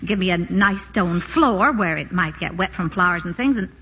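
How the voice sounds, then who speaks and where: A flustered housewife who keeps protesting in a 1940s radio play. Her voice is bright and moves through a lively range. The old broadcast sounds dull and faintly hissy. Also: lowish voice, moderate pace. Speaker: wife.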